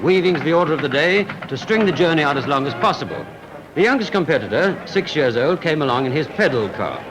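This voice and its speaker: A man's voice: fairly high pitch, lively intonation, brisk, noisy background. A man reading scripted 1940s sports film commentary in a wry, lightly humorous manner. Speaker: newsreel-style sports narrator. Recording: vintage 1940s film soundtrack, music behind. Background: background music.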